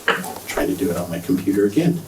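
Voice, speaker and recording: A soft-spoken man giving a budget presentation at a school board meeting, hesitant with many ums. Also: low voice, quick pace. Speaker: school district finance presenter. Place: large meeting room. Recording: echoey room, distant mic, faint noise.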